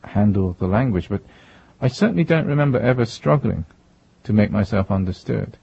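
Husky-toned soft-spoken author, dry lo-fi. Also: male voice, lowish voice, lively intonation, fairly quick, no room sound. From Japan, raised in England. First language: Japanese. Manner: thoughtful, many restarts and self-corrections. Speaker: author guest. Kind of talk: reflective interview answers. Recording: dull lo-fi recording.

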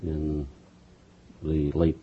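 A man in his fifties from Houston hosting an interview, asking questions in a halting way with many fillers. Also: a bass voice, monotone, breathy edge. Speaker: interview host. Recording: dull lo-fi recording.

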